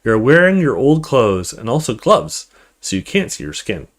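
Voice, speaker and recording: Brief: male let's play host, narrating a visual novel with casual asides, mid-range voice, animated intonation. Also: mid-range voice, normal speed. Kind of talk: reading visual novel text aloud with casual commentary.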